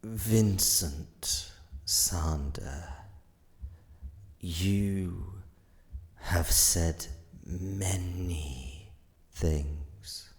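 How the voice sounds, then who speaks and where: An actor playing an ancient godlike being of paradox in an audio drama, weary and enigmatic, speaking in solemn, halting phrases with long pauses. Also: male voice, sits low, exaggerated voice. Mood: grave, accusing.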